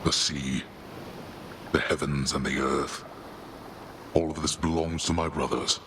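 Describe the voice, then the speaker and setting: A man's voice: a bass voice, level tone, unhurried, background noise. A man playing a stern, bitter old god-king father in a video game, ponderous and imposing. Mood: mournful, grave. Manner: ponderous, scornful, mournful.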